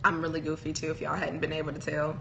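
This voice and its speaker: A woman's voice: deep voice, monotone, quick pace, vocal fry, background noise. A young woman co-hosting a casual couple's chat show, rambling and playful with frequent giggles. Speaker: podcast co-host.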